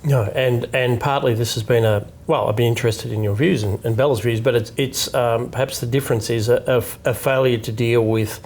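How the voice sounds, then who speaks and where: A man's voice: mid-range voice, fairly even intonation, fairly quick, hardly any pauses, a little mellow. A middle-aged man hosting a studio interview, speaking reflectively with um and uh fillers on a lapel mic. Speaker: think tank executive director, host. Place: studio set with dark curtains.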